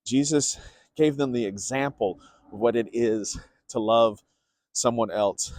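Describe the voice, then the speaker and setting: A man explaining a bible chapter in a relaxed, conversational way, with fillers and stress on key words. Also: mid-range voice, unhurried, no echo. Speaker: parish teacher. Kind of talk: casual bible teaching.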